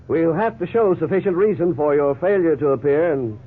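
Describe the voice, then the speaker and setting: An American actor playing a calm, methodical lawyer in a 1950s radio drama, explaining things in a measured way. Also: male voice, a little high, lightly acted. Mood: measured, businesslike. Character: calm, methodical male lawyer advising a client. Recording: tinny, noisy 1950s radio recording.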